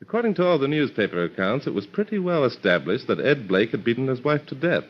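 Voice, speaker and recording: An actor playing a courteous, quick-witted investigator in an old-time radio mystery, polite and wry in manner. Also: male voice, medium pitch, big pitch swings. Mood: inquisitive, measured. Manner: polite, wry, good-humored. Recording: dull vintage radio recording.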